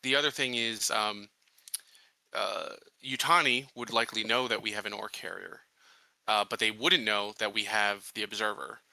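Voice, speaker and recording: A man playing a tabletop roleplaying game, laying out plans casually in a deliberate, halting way. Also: on the low side, fairly even intonation, vocal fry, sharp tone, no echo, poor audio. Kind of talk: casual in-character planning talk.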